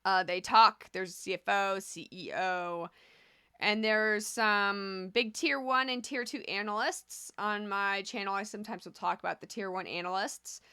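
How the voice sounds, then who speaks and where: A woman's voice: mid-range voice, steady tone, a little slow, sharp tone, clear, resonant tone, dry recording, clean studio sound. A woman hosting a casual video commentary on stocks, talking conversationally and drifting into asides. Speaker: host of a stock commentary channel.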